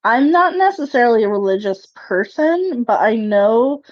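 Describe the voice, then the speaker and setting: A woman with a fairly high voice on slightly muffled audio. She roleplays as a game player, reasoning earnestly and tentatively in casual speech. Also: animated, normal speed. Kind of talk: casual in-character discussion.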